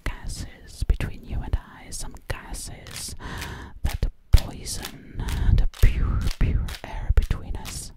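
Woman acting as a boastful witch, ASMR roleplay, hushed breathy whisper, very unhurried, hypnotic repetition, close to the mic. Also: natural voice. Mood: hypnotic, soothing. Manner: slow, hypnotic, repeats words over and over. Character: sly, boastful female witch who takes people as coats. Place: small indoor room at a table.

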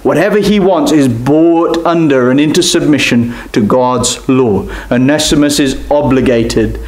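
A young man preaching a sermon at a lectern, animated and gesturing, dropping to near-whispers at times. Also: medium pitch, unhurried. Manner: animated, gesturing preaching with sharp drops to quiet. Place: church hall at a lectern. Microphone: lectern mic from a distance. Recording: echoey church room.

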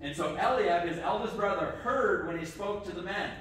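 Pastor preaching from across a church. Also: male voice, high-pitched, normal speed, slight background noise. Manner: lively, asks the kids questions, says okay often. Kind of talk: children's sermon. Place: church sanctuary with congregation. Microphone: far camera. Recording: echoey church from far off.

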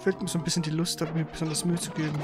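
A man's voice: a little high, little pitch movement, very fast. A man chatting casually and ramblingly as he co-hosts a live game stream. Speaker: streamer and co-host. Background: game music.

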